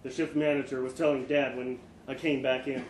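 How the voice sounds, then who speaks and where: A man reading a short story aloud at a live event, in an expressive, dramatic storytelling style. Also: medium pitch, brisk. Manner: expressive, acts out dialogue. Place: live literary event in an indoor venue. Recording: echoey room, live event, background noise.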